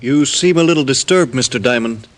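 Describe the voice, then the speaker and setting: An actor playing a very tall, thin carnival performer, formal, mild and lovelorn, in old-time radio drama. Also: male voice, medium pitch, brisk, close to an everyday voice. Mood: politely formal.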